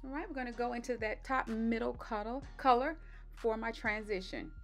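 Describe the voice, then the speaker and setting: A woman with a slightly raspy voice doing a relaxed step-by-step voice-over for her makeup tutorial. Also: on the high side, moderate pace, faint music behind. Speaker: beauty vlogger. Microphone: voice-over mic.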